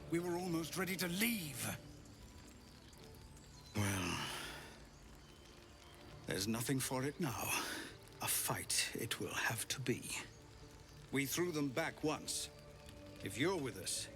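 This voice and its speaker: A man's voice: mid-range voice, lively intonation, slow, pauses often, over music. A man playing an earnest, solemn leader readying a desperate defense in a video game. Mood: grim, resolute. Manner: solemn, resolute.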